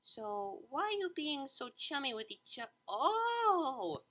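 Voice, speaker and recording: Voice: sing-song, takes it slowly, bright, forceful tone, a little hiss or hum, exaggerated voice. A narrator voicing a nervous, chatty young mare waitress in a story, anxious and rambling. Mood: surprised. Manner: anxious, rambling, high-strung.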